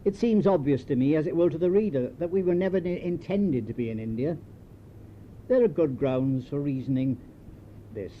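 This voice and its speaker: An older British man reads his written memoir in a slightly high voice. His storytelling is measured and dryly understated. It sounds like an old home tape, dull in tone with a noticeable rumbling noise behind him. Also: expressive. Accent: British English. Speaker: memoir author reading his own writing. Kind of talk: scripted memoir narration.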